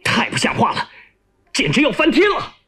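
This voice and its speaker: Casting: a narrator as flustered, outraged male staff officer, urgent delivery, jumping pitch, husky, audiobook war story. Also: wildly swooping pitch, normal speed. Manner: urgent, agitated exclaiming. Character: alarmed, indignant male army chief of staff.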